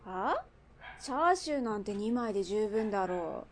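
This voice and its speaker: A woman playing a brash, bossy underworld boss in a radio drama, with rough, masculine, commanding speech. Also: mid-range voice, very animated, moderate pace, slight background noise, lightly acted. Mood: gruff.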